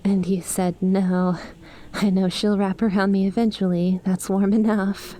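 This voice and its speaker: A woman in an ASMR roleplay voicing an affectionate young lamia best friend, softly and intimately, with some hesitation. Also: mid-range voice, little pitch movement, unhurried, a little hiss or hum, close to an everyday voice. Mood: cozy, fond.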